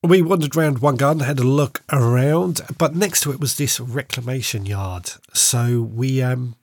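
A middle-aged man hosting his podcast, stuffed up from a cold and chatting in a relaxed way with frequent ums. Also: mid-range voice, moderate pace, no room sound. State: congested from a cold. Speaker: podcast host. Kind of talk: solo casual update.

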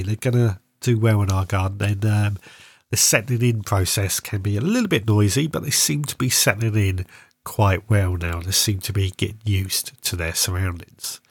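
A middle-aged man hosting his podcast, stuffed up from a cold and chatting in a relaxed way with frequent ums. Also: lowish voice, no echo, clean studio sound. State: congested from a cold. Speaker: podcast host. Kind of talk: solo casual update.

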